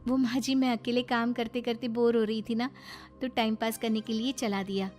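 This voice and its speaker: Casting: woman as soft-hearted, obedient young bride for cartoon family drama, high voice. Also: fairly even intonation, normal speed, close to an everyday voice. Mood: apologetic. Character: gentle, apologetic young daughter-in-law. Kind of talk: cartoon moral story acting. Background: faint music.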